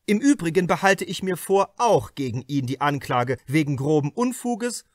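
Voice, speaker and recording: Man putting on a loud, pompous courtroom prosecutor. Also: fairly high pitch, sing-song, no echo, studio quality. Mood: pompous, official. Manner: halting, loud, formal declamation. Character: stammering, pompous male state prosecutor in court. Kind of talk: acted audiobook reading.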